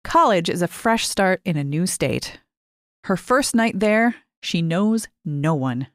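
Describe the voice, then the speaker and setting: A woman reading a short story aloud as expressive, scripted narration with measured phrasing and deliberate pauses. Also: fairly low pitch, dry recording, clean studio sound. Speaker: short fiction reader.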